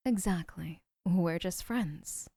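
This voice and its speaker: A young woman voicing an adoring, obsessive female kidnapper who gushes softly and often whispers. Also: on the low side, takes it slowly, dry recording, clean studio sound. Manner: soft, gushing, often whispering. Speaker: voice actor. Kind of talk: audio roleplay.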